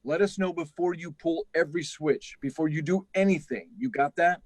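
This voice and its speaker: A man's voice: a little high, normal speed, slightly rough, dry recording. Stern, dry-sounding actor as a male project chief. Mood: grave, insistent. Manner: insistent, instructing. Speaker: radio drama actor. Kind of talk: 1950s radio drama acting.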